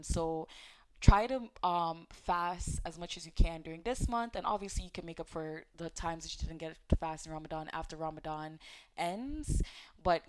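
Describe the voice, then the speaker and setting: A woman with a slightly low voice gives an informal faith lesson straight to her viewers. She talks casually and encouragingly, pausing on fillers and correcting herself as she goes. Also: clear, resonant tone. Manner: conversational, with fillers and self-corrections; gently encouraging, speaks directly to viewers. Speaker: host of an Islamic teaching channel. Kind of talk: casual religious teaching talk.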